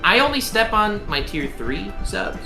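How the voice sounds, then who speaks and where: A man hosting a casual podcast, joking and heckling his co-hosts in an animated way. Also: high-pitched, normal speed, gravelly. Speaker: podcast host. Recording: echoey room with music underneath.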